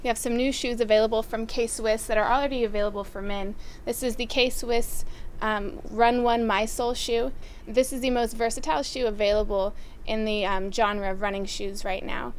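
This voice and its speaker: A woman's voice: on the high side, steady tone, moderate pace, slight background noise. Upbeat young woman presenting running gear. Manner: upbeat, with frequent "um" fillers. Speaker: store product presenter. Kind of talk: casual product rundown. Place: outdoors by farm fields.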